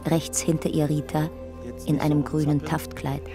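Narrator, female, low and terse, music beneath. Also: little pitch movement, moderate pace. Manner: terse, descriptive. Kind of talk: scripted radio drama narration.

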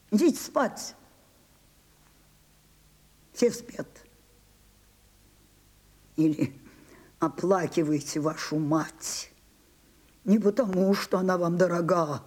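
A woman's voice: on the low side, dark tone, husky. An older woman playing an imperious, weary and capricious old French actress in a Soviet radio drama, theatrical and commanding. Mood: imperious, irritated.

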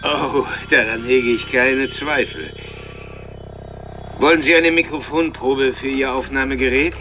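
An actor in a radio drama playing a taunting, intellectual serial killer, with a mocking, theatrical way of drawing out phrases. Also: male voice, mid-range voice, fairly even intonation, music in the background, lightly acted. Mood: mocking politeness. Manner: mocking, theatrical, mannered, teasing, drawn-out phrasing.

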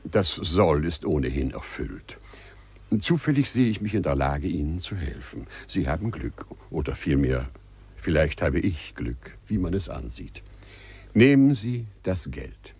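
Dry officious gent in a 50s radio play, slow, very animated intonation, formal and polite. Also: male voice, a bass voice, creaky, slightly rough, exaggerated voice. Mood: brisk, businesslike. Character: formal, businesslike gentleman thief handing out charity. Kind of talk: 1950s German radio play acting. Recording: 1950s radio studio recording.

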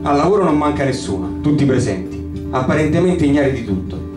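A man reading a first-person literary story in an expressive, dramatic, bitter and brooding way. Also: on the high side, fast. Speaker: reader of a short story. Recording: roomy live reading, distant mic, guitar behind. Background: acoustic guitar accompaniment.

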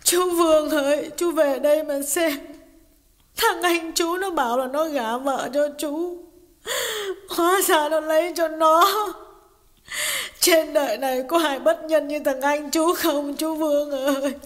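A man voicing an enraged, betrayed young wife who scolds shrilly and cries as she shouts. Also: very high voice, fairly even intonation, a little slow, voice pushed up. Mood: wailing. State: crying.

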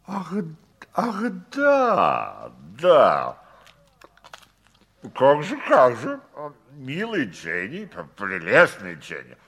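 An actor playing an excitable, absent-minded astronomer of about fifty in a 1960s radio play, fretful and emphatic with drawn-out words. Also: male voice, mid-range voice, heavily acted. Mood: flustered. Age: middle-aged.

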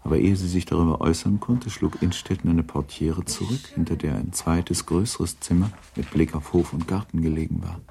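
A man narrating a literary radio play in a measured, sober storytelling manner, with a dark, gravelly, husky voice. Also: deep voice, a little hiss or hum.

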